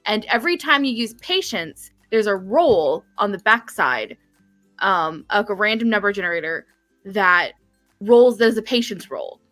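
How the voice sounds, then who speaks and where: A woman's voice: medium pitch, sing-song, a little slow, fairly bright tone, dry recording, soft background music. A woman talking casually and ramblingly as a game developer explaining things on a stream. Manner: rambling, frequent "like", casual swearing.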